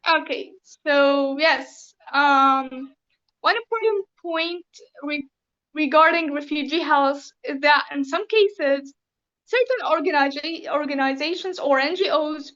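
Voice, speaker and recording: A Syrian woman with an Arabic accent, giving careful, formal interview answers with many pauses and ums. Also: high voice, a bit bright, lo-fi sound. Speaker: interview guest.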